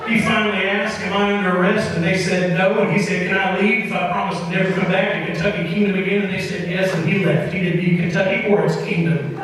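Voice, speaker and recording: A man with a high-pitched voice tells a story on stage in a folksy, measured manner, pausing deliberately. He is heard at some distance from the mic in a big reverberant hall, as in a live old-time radio variety show. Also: flat delivery, heavy background noise. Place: opera house stage with live audience.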